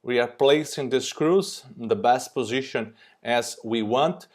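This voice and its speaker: Brazilian man, mid pitch, halting remote conference lecture. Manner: non-native, halting with frequent fillers. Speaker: surgeon presenting. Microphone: remote recorded presentation.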